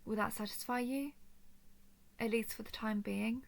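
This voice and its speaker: Noble dragon lady, played by a woman: solemn, earnest, soft-spoken, flat melody, touch of creak, intimate roleplay monologue. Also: a little high. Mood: solemn, reassuring. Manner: formal, measured, earnest speech. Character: noble female dragon of a ruling house, gentle, solemn.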